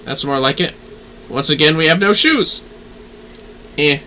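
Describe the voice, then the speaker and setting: A man's voice: fairly high pitch, animated, measured pace, bright, forceful tone, slight background noise. A man livestreaming a video game with casual, offhand commentary and sweary joking asides.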